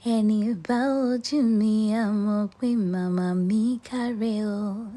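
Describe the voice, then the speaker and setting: A woman gently singing a folk song in Yoruba. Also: medium pitch, fairly even intonation, takes it slowly, soft background music.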